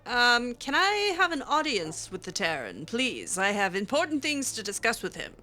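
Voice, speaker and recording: A woman's voice: fairly high pitch, wildly swooping pitch, moderate pace, pushed and bright, a little hiss or hum. A woman playing through a video game in character, chatting casually in a hesitant, wry way. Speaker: gameplay roleplayer voicing her character.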